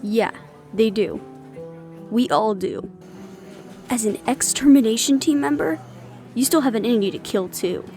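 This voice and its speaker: A woman's voice: fairly high pitch, expressive, measured pace, long pauses, mellow, soft background music. A woman acting in an audio roleplay as a young adult daughter, defiant, loyal and argumentative, with an emotional, sarcastic edge. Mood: angry, defiant.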